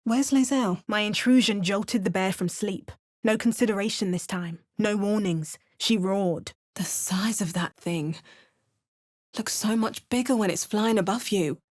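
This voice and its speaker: A woman's voice: mid-range voice, measured pace, darkish tone, lightly acted. A young woman voicing a tough, dry-witted adventurer heroine in a fantasy video game, speaking in a wry manner. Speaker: player character voice.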